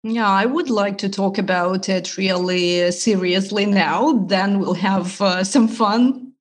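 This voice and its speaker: A woman from Ukraine whose first languages are Russian and Ukrainian, a language teacher speaking as a podcast guest in a measured, explanatory way with frequent pauses. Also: medium pitch. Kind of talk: podcast interview.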